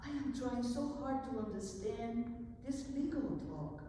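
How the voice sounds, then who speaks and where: A woman recites as a young enslaved woman, anxious and hopeful for freedom, in a dramatic poetry reading. Her voice is soft-toned and very unhurried, carried through long hall reverb. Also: fairly high pitch, a little hiss or hum, close to an everyday voice. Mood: anxious, pondering. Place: large indoor hall at a live event. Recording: very echoey hall from far off.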